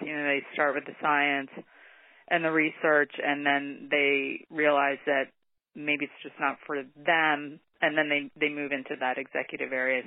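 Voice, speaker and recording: A man's voice: a little high, no echo. A man co-hosting a podcast interview, curious, asking long rambling questions. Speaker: podcast co-host. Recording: tinny phone-like line.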